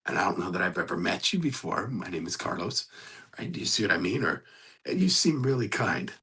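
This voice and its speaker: A hoarse man hosting a motivational coaching talk, speaking in an earnest, exhorting and conversational way. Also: low-pitched, big pitch swings, a little fast, pushed and bright. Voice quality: gravelly, husky. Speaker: host.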